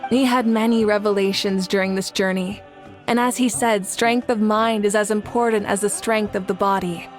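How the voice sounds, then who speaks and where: A woman's voice: mid-range voice, steady tone, normal speed, faint music behind, lightly acted. An actress voicing a confident female ruler in a game, proud and commanding. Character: confident fiery female ruler, warm champion. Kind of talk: game cutscene voice acting.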